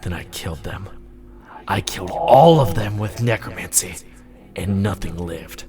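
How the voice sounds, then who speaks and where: A man acting an amnesiac, foul-mouthed former magical fabricator in an audio drama, coaxing himself along, swinging between muttered focus and excited outbursts. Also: deep voice, a little slow, a crowd in the background. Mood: bitter, grim.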